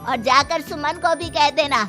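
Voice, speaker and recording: Woman acting a high-pitched, scolding cartoon mother-in-law. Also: fairly even intonation, unhurried, music in the background. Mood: stern, indignant. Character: aggrieved, shrewd older mother-in-law who keeps a shop. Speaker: cartoon voice actor. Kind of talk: acted dialogue for a moral-story cartoon.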